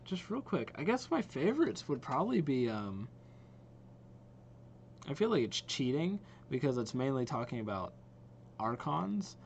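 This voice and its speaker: A man's voice: medium pitch, sing-song, a little slow, creaky, long pauses. Casual young man, faint noise behind. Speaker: reaction video host. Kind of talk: casual, rambling reaction commentary.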